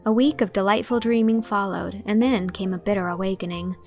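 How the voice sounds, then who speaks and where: A woman reading a classic novel aloud as narrator, telling the story in a clear, measured way. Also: mid-range voice, fairly even intonation, a little mellow, faint music behind.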